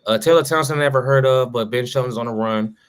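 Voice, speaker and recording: This man co-hosts a laid-back sports chat. He talks in loose slang and keeps restarting his sentences, with fillers everywhere. His voice sits at an average pitch for a man. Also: fast talker, smooth. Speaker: podcast co-host. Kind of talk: casual sports talk.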